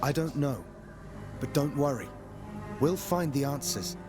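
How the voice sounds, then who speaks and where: A man's voice: medium pitch, lively intonation, a little slow, music in the background, close to an everyday voice. An actor playing a determined young male warrior in a video game, speaking earnestly and directly. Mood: reassuring.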